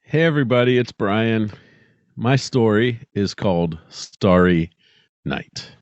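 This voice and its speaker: A man giving a measured, earnest dramatic reading of a scripted short story. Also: on the low side, animated, dry recording, muffled recording. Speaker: podcast host reading own story.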